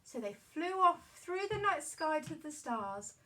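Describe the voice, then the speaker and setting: A woman's voice: high-pitched, very animated, measured pace, bright, forceful tone, far from the mic, a little hiss or hum. A woman telling a story to young children in a puppet show, addressing them directly with exaggerated expression.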